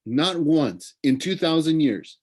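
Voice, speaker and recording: A man's voice: fairly high pitch, animated, takes it slowly, voice pushed up. A young boy hero from a storybook, read by a man. He talks casually and asks a lot of questions. He is curious, teasing and skeptical. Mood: curious, amazed.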